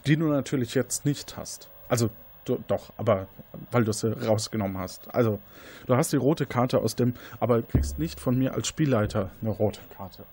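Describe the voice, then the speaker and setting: A man's voice: medium pitch, moderate pace, a little mellow, slightly rough. A man, improvising scene descriptions as game master of an interactive mystery game, often correcting himself.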